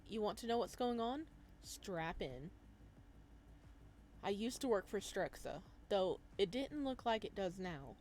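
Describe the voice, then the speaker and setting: A woman's voice: mid-range voice, a little mellow, slight background noise, lightly acted. A woman voicing a guarded, blunt woman who left a company, wry and matter-of-fact, in a visual novel read-aloud. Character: guarded, blunt ex-employee turned community organiser, woman.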